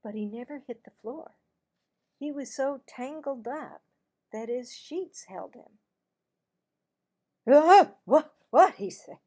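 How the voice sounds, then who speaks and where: Creaky-voiced woman reading a children's story. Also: on the high side, a little slow, dark tone. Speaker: teacher-style read-aloud narrator.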